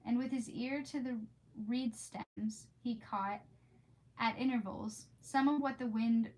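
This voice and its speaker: A woman's voice: a little high, fairly even intonation, a little slow, a little mellow. A woman streamer who reads storybooks aloud and chats casually with viewers in an informal, gushing, rambling way. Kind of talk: storybook read-aloud and casual livestream chat. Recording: clearly noisy background.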